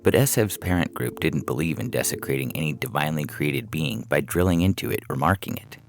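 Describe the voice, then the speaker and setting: A man's voice: a bass voice, animated, a little fast. A man reading a humorous science fiction story as a scripted narration, with music playing behind him.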